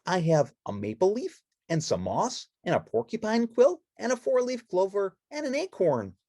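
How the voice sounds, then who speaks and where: A cheerful young puppet boy, acted by a woman for a children's storybook. He speaks far above an everyday pitch and swoops about excitedly, full of wide-eyed exclamation. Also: moderate pace, no room sound, studio quality. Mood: bright wonder. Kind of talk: children's storybook read-along character voice.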